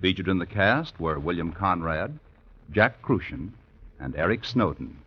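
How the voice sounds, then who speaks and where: A man's voice: sits low, expressive, unhurried, breathy edge. A male announcer reading scripted credits in 1950s radio style. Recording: dull vintage radio broadcast.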